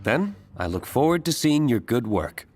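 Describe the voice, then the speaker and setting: A man's voice: medium pitch, sing-song, moderate pace, husky. An actor playing a reserved, polite young nobleman in a video game, composed and understated. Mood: polite, encouraging. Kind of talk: scripted video game voice acting. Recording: game audio with music behind.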